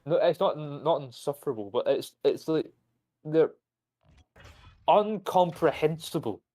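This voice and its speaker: A man's voice: fairly high pitch, measured pace. Ranting young Scottish man. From Scotland. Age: young adult. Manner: ranting, profane, animated storytelling. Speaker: university student streamer. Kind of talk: casual live-stream chat.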